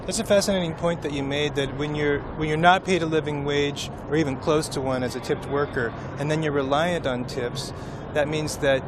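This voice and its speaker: A man reporting at a protest march, asking measured, probing questions in a street interview. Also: on the high side, steady tone. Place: outdoor city street march. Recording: loud street noise outdoors.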